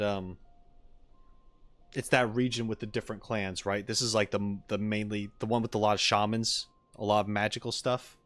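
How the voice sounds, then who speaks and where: A man doing casual live reaction commentary as a game streamer, musing and haltingly thinking aloud. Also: on the low side, dry recording. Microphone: close streaming mic.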